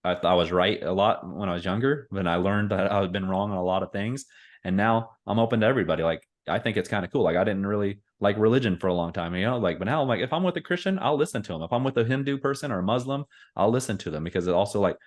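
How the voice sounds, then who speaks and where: A man in his forties chats on a podcast, recounting stories and slipping into the voices of the people he quotes. His voice is on the low side and relaxed in delivery. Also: fast. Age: 40s. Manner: animated storytelling with acted-out quotes. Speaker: podcast co-host. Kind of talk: casual chat.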